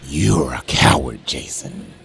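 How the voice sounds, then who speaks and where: An actor playing a smug, menacing crime lord with a theatrical, condescending, taunting delivery. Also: male voice, a bass voice, animated, slow pace, creaky, a bit bright, slightly rough, full character voice. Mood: scornful.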